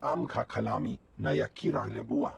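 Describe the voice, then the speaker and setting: An actor voicing a gravelly, rasping male alien villager who speaks an invented tongue in a video game. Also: fairly low pitch, normal speed, a little hiss or hum. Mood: pleading, grave.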